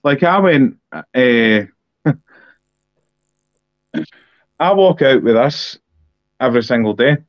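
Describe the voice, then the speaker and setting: A Scottish man talks in a blunt, emphatic way and hammers his points home by repeating them. His voice sits at a middling pitch. The audio is dull and lo-fi, with a dry, close sound. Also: expressive, takes it slowly, long pauses, dry recording. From Scotland. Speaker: political podcast co-host. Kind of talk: casual political discussion.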